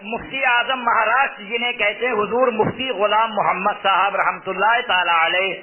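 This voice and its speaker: High-pitched male preacher, tinny sound. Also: fairly even intonation, fairly quick, crowd noise. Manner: emphatic, repeats key phrases. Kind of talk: sermon explaining a fatwa to listeners. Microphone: loudspeaker microphone close to the mouth. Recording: tinny phone-like loudspeaker sound.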